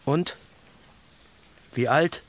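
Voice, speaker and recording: A man's voice: a little high, very slow. An actor playing a slightly irritated man in a radio play, terse and questioning. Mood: probing. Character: probing, slightly irritated male café companion.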